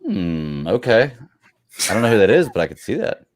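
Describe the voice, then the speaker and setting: A man's voice: fairly low pitch, very animated, moderate pace. A man co-hosting a casual podcast, making brief, laid-back remarks. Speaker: podcast co-host.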